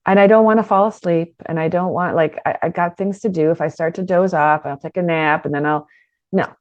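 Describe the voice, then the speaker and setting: A middle-aged woman teaching an online business course in a lively, conversational way, with frequent restarts. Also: fairly low pitch, brisk, no echo, highs cut off. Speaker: business coach.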